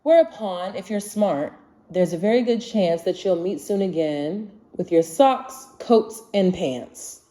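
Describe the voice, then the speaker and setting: A woman reading a children's rhyming picture book aloud in an expressive, singsong way. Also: on the low side, measured pace. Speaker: storybook reader.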